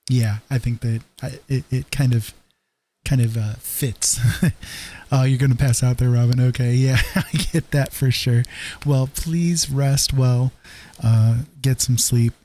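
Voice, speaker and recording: A man hosting a livestream, chatting casually in a halting, low-key, easygoing way. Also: fairly even intonation, warm tone, a little hiss or hum. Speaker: livestream host. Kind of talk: casual rambling livestream chat.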